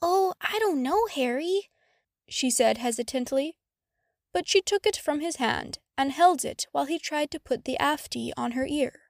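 A woman's voice: high voice, moderate pace, creaky, dry recording, clean studio sound. A woman reading a story aloud as scripted audiobook narration. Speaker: fan fiction audiobook narrator.

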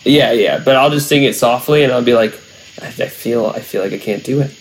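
A man's voice: medium pitch, fairly quick, poor audio. Young guy rambling along in casual chat, lots of likes and ums, bit of hiss behind. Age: young adult. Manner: rambling, many fillers and restarts.

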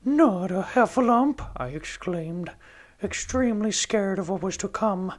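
Sweet, slow-witted storybook bear, put on by a podcast host, soft and unhurried. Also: male voice, high voice, voice pushed up. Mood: gentle. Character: gentle honey-loving male cartoon bear. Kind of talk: amateur storybook impression.

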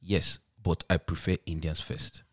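A young man with a Nigerian accent, co-hosting a casual podcast chat and telling animated stories with pidgin and laughter. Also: low-pitched, a little slow. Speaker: podcast co-host.

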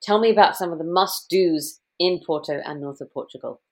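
A woman's voice: fairly low pitch, a little fast. A woman hosting an interview, speaking in an enthusiastic, affirming way. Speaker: interviewer and host.

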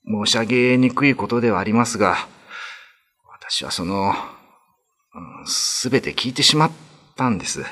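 A man's voice: on the low side, lively intonation, moderate pace, pauses often, a bit bright, slightly rough, in a large hall. An actor playing a deferential male servant in a radio drama, speaking in a formal, hesitant, confiding manner. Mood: grave, confiding. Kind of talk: Japanese radio drama acting.

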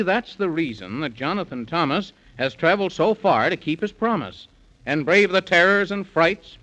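Theatrical, higher-voiced male radio storyteller. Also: fairly bright tone. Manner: dramatic, declaiming in sing-song verse. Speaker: narrator. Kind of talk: theatrical rhymed storytelling for children's 1930s radio. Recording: muffled vintage 1930s radio recording.

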